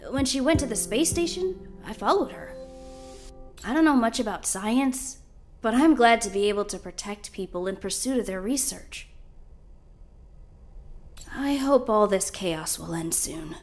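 A woman's voice: on the high side, expressive, measured pace, pauses often, a little mellow. An actress voicing a stoic, dutiful young male security guard in an anime game, modest, earnest and a little hesitant. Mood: shy, sincere. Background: game background music.

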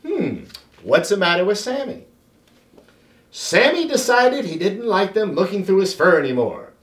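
A middle-aged man reads aloud to children in an expressive, theatrical way with deliberate phrasing. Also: high-pitched. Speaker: children's storybook reader. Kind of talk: animated read-aloud for children. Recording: roomy, echoing sound.

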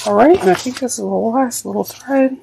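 A woman getting over a cold walks through a sewing step at her craft table. Her voice is gravelly with vocal fry and cracks at times. She chats along loosely as she works, with a faint hiss behind her. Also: fairly high pitch, animated, a little fast, warm tone, poor audio. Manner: chatty, thinks aloud while working. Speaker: sewing instructor. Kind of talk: casual sewing tutorial.

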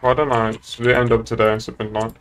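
A man's voice: mid-range voice, flat delivery, quick pace, clean tone, soft background music. A young British man chatting casually and laddishly on a gaming livestream. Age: young adult. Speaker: game streamer. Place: indoor room at a streaming desk.

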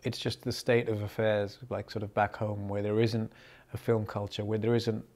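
A man's voice: on the low side, level tone, moderate pace, a little mellow. A man in his thirties with a London accent, a film director answering interview questions thoughtfully and often repeating words as he searches for the right phrase. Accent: London English. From born in Pakistan, grew up in the UK. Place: indoors in front of a film poster.

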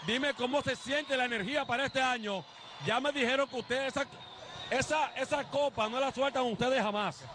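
A Dominican man in his thirties with a very high voice, talking loud and energetic as a street TV reporter. He holds a handheld mic on an outdoor court, and constant noise hums behind him. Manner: loud, energetic, drawn-out emphasis. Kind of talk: live on-location TV interview. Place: outdoor neighborhood basketball court. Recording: noisy crowd outdoors.

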